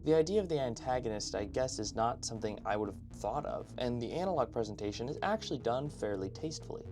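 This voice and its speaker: Man, mid-range pitch, casual video essay host, opinionated, informal asides, scripted commentary, music behind the voice. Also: expressive, quick pace. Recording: background music under voice-over.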